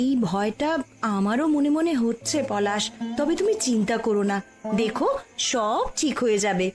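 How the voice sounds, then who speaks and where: A confident village woman, voiced by an actress in a cartoon family drama. Her voice is highish and swings expressively as she coaxes and persuades, over background music. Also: animated, normal speed, natural voice. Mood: reassuring. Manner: emphatic, coaxing. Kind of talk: dramatized cartoon story dialogue.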